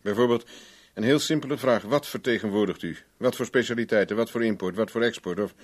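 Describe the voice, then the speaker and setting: An actor in a 1970s Dutch radio drama playing a stubborn, unimpressed investigator, curt and dryly sardonic. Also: male voice, lowish voice, fast, slightly rough. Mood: bored impatience.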